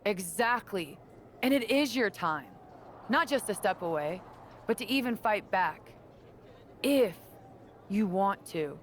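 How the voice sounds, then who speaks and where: A woman's voice: mid-range voice, expressive, takes it slowly, pauses often, a little hiss or hum. A woman playing a confident, encouraging wrestler, speaking assured in a video game cutscene. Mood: persuasive. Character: confident, encouraging veteran female wrestler rallying allies.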